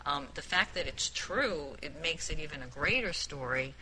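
A woman giving an informal spoken book review in a group discussion, halting and repeating words as she makes emphatic points. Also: sits low, expressive, pushed and bright, a little hiss or hum, low-quality recording. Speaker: book club member who picked the book.

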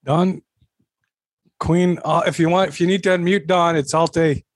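A man's voice: on the high side, fairly even intonation, normal speed, no room sound, studio quality. A man casually moderating an online group chat and speaking informally to its participants. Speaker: meeting moderator.

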